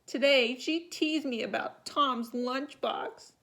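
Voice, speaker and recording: A woman voicing an upset, picked-on but plucky young schoolgirl in a storybook, with slow, emphatic words. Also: sits high, sharp tone, pitched above the performer's own voice, heavily acted. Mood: tearful, hurt.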